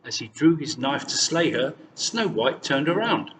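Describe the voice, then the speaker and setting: A man reading a fairy tale aloud as scripted, expressive storytelling. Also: mid-range voice, measured pace. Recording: distant mic, long reverb, faint noise.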